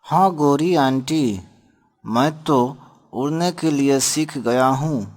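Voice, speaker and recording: A trusting little boy bird from a children's cartoon, acted by a man. The voice sits a bit high and moves slowly, with simple, polite, obedient phrasing.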